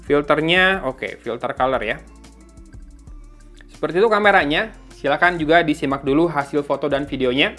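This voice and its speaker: A man's voice: a little high, normal speed, fairly bright tone, soft background music. A man giving a casual, informal tech review, thinking aloud as he reads out specifications. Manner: informal, thinking aloud while reading out specs. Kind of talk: casual unboxing and review commentary.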